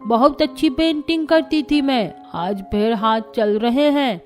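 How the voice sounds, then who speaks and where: A woman's voice: on the high side, a little slow, close to an everyday voice. A fond, proud old grandmother done by a woman in a Hindi cartoon story. She draws her words out expressively, over faint music.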